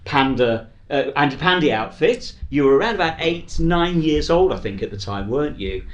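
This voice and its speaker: A British man hosts a casual interview with very lively intonation. He banters, pokes fun at himself and often repeats a phrase as he goes. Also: a little high, moderate pace, dull audio. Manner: jokey, self-deprecating, repeats phrases. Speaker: podcast co-host interviewing guests.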